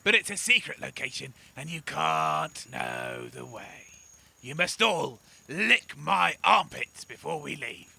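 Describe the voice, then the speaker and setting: A man voicing a shady, druggy toad guide in a comedy audio drama, cheeky and menacing with raspy, slangy patter. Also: a little high, wildly swooping pitch, slow pace, pushed and bright, heavily acted. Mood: sly, amused. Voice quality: raspy, gravelly. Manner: clipped, slangy street-dealer patter.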